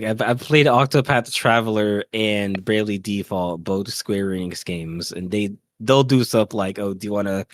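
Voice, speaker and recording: A man's voice: medium pitch, no room sound. Casual man with a dry, close sound. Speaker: podcast co-host. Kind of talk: casual chat about video games.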